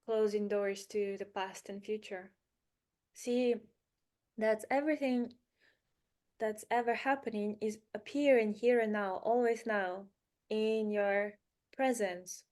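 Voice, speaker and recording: Slow-speaking woman guiding meditation. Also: mid-range voice, fairly even intonation. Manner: soothing, unhurried, short phrases with pauses and gentle repetition. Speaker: meditation guide. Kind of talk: guided meditation, spiritual.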